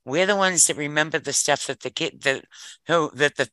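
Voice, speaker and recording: A woman's voice: low voice, moderate pace, a bit bright, no room sound. An older woman chatting casually, hesitant, circling back and repeating her phrases as she goes. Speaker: discussion participant. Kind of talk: casual conversation.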